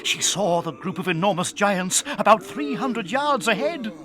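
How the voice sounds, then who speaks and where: The narrator of a children's audio drama. His voice sits a little high for a man as he reads the scripted storybook prose. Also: expressive, moderate pace. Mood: measured, suspenseful.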